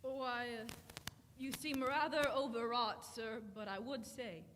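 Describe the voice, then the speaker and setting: A woman playing a calm, polite, scholarly minister in a live radio drama, with a formal, measured manner. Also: a little high, a little hiss or hum. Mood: calm, concerned. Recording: echoey auditorium.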